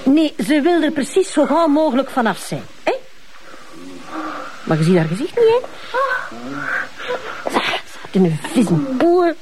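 An actress playing a chatty, cheeky police officer with a Flemish accent, speaking informally in a crime drama. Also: female voice, high voice, sing-song, moderate pace, long pauses, noisy background. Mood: curious, cheeky. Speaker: actor in a police drama series.

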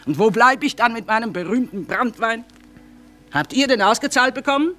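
A woman's voice: a little high, normal speed, soft background music, lightly acted. A woman playing a shrewd, blunt middle-aged trader and mother in a radio drama, with plain folksy, businesslike speech. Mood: sceptical, tart. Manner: sharp-tongued, businesslike, plain folksy phrasing. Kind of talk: theatrical radio drama acting.

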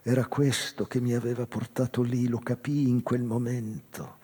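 An actor playing a wistful, intense man recounting an old love in a deliberate theatrical reading, with pauses and a voice that can sink toward a whisper. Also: medium pitch, little pitch movement, dark tone, breathy edge, natural voice. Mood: haunted, longing. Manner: slow, deliberate, pauses, sometimes dropping to near whisper. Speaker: actor narrating a literary monologue. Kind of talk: theatrical dramatic reading of a first-person story. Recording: reverberant hall, live guitar music behind. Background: plucked guitar music.

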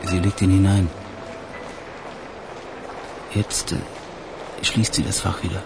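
Gravelly henchman on a stakeout. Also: sits low, flat delivery, slow, background noise, heavily acted. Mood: watchful, focused. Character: low-ranking male tail reporting on a watched woman. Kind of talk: German radio crime drama acting.

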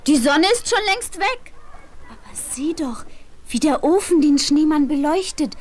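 A woman's voice: high-pitched, animated, unhurried. A woman playing a cheeky, teasing character in a children's fairy-tale audio drama.

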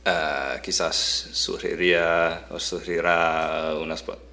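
A man with a very deep voice talks slowly, co-hosting a relaxed chat show. He hesitates a lot, restarting words and laughing between phrases. He is a native English speaker talking in a learned language. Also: vocal fry, a little hiss or hum. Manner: hesitant, many fillers and restarts, laughs often. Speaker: podcast co-host. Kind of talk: casual chat. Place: Boston.

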